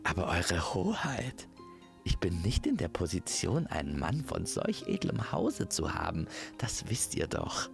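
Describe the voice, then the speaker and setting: A man's voice: low-pitched, very animated, unhurried, vocal fry, music in the background, full character voice. A man voicing an earnest, courtly young woman in a fairy-tale audio drama. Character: lovestruck, frank young princess and modest maid.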